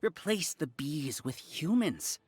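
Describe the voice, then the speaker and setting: An actor playing a calm, polite scholarly villain in a video game, lecturing theatrically and justifying himself. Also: male voice, fairly high pitch, takes it slowly, breathy edge, heavily acted. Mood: lecturing, self-justifying. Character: smooth-talking scholarly male villain, calm and self-justifying.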